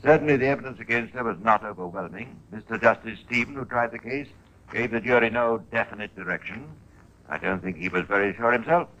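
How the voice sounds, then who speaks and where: A man narrating a radio crime drama as host, in a measured, theatrical 1950s style with pointed emphasis. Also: medium pitch, sharp tone, highs cut off.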